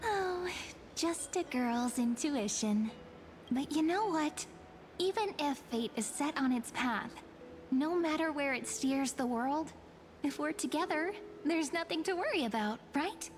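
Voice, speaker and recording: A woman voicing a mysterious young fortune reader in a video game, speaking softly with a teasing, wistful manner. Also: high voice, animated, a little slow, slight background noise. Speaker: game character.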